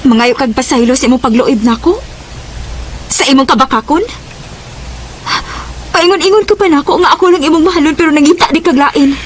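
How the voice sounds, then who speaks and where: A woman's voice: high voice, fairly quick, long pauses, slight background noise, natural voice. A woman acting a heartbroken young woman in love in a Cebuano radio drama, with an emotional, plaintive delivery. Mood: accusing, wary.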